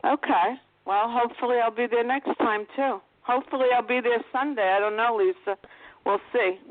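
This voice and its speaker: A woman's voice: fairly high pitch, brisk. A woman with a New Jersey accent calling in to a talk show, friendly and chatty. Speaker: caller. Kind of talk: casual chat. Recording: phone-like call audio.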